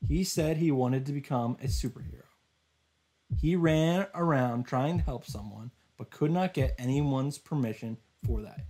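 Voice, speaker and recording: A man voicing a desperate, determined young hero, narrating a visual novel in an intense, halting, solemn way. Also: mid-range voice, mellow, rough recording.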